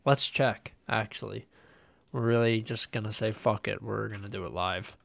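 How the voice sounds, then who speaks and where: A man's voice: fairly low pitch, fairly even intonation, normal speed, vocal fry, fairly bright tone. A young man hosting a casual solo podcast, nasal and congested from a cold, rambling loosely with lots of ums and uhs. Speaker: podcast host.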